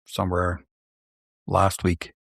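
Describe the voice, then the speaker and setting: A middle-aged man with a slight Dutch accent, hosting a casual podcast chat in a soft, mumbling, soothing voice. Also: sits low, completely flat delivery, takes it slowly, vocal fry, no room sound, studio quality. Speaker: podcast host.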